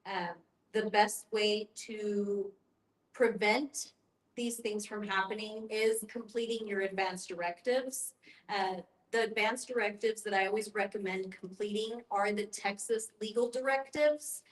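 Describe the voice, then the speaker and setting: A woman's voice: medium pitch, fairly even intonation, a little slow. A woman explaining things step by step as an educator leading a group discussion, in an instructive way. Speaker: end-of-life educator and guest facilitator. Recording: roomy, echoing sound.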